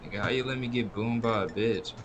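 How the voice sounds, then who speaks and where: A man's voice: medium pitch, fairly even intonation, quick pace. Slangy American man in noisy voice chat. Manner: slangy, swearing, complaining banter. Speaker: online game roleplay player. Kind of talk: casual in-character game chat. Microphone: online game voice chat.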